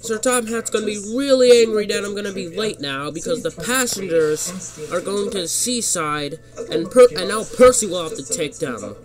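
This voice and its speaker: A man's voice: high voice, moderate pace, music in the background, voice pushed up, lightly acted. A man voicing a cocky, easily offended male diesel engine with a brash, taunting manner in a children's story.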